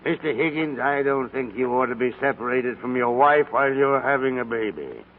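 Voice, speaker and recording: An older man playing a gruff, domineering, irascible department store tycoon in 1940s radio comedy style. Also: mid-range voice, expressive, moderate pace, close to an everyday voice. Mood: brusque. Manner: blustery, imperious. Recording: scratchy, tinny 1940s radio broadcast.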